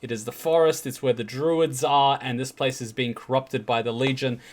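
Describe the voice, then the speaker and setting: A man talks casually and enthusiastically in a mid-range voice, explaining fantasy lore while he reacts. He rambles a little, with fillers and quick self-corrections. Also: medium pitch, steady tone. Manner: chatty and enthusiastic, with fillers and restarts. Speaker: reaction video host. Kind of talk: casual commentary over game cinematics.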